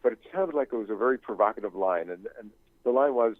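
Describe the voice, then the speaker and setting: Older guy on a tinny phone line, kinda low voice, rambly interview talk, keeps searching for words. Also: animated, fast talker, no room sound. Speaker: interview guest, novelist and screenwriting teacher. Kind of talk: reflective conversational interview.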